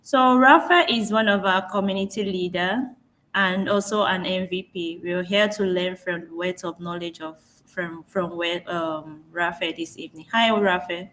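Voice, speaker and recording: A woman's voice: lowish voice, steady tone, moderate pace, a bit bright, slight background noise, poor audio. A woman introducing the next speaker at an online community session over a video call, hesitant and full of fillers. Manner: hesitant, many fillers and restarts. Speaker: session moderator.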